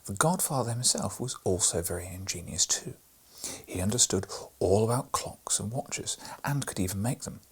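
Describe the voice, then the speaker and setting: Man, breathy, hushed, bedtime storybook reading, lively intonation. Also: on the low side, moderate pace. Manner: soft, hushed delivery. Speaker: storybook reader.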